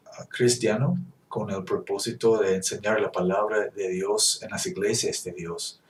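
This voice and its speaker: A man whose first language is English, preaching earnestly in Spanish with frequent restarts and self-corrections. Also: fairly low pitch. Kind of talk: teaching sermon. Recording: echoey room, distant mic.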